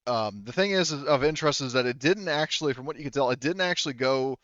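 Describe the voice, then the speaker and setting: A man acts as game master in a casual tabletop session. His mid-range voice lays out details haltingly, circling back to rephrase. The sound is close and dry, slightly dull and lo-fi. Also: mid-range voice, lively intonation, a bit bright, no echo. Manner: halting, explanatory, often rephrasing.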